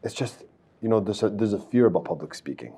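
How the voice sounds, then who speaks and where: A man hosting an interview who came to Canada as a child. He speaks softly and hesitantly, with many fillers and restarts. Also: on the low side, lively intonation, quick pace, slightly rough. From came to Canada at five. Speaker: interview host. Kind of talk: casual interview chat.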